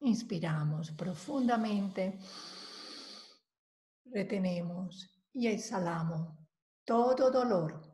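A woman leading a guided meditation, giving calm, measured instructions with long pauses between phrases. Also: mid-range voice. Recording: roomy, echoing voice recording.

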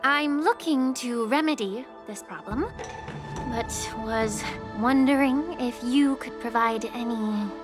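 A woman voicing a composed, soft-spoken young woman alchemist in a game. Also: fairly high pitch, takes it slowly. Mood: polite. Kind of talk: game voice acting. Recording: game audio with clear background music.

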